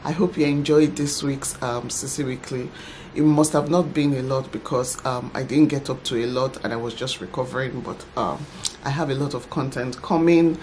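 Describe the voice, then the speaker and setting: Brief: woman, 30s, low-pitched, chatty home vlogger, faint background noise. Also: normal speed. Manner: chatty, laughs often, soft-spoken. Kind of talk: casual talk to camera. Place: home office by a computer desk.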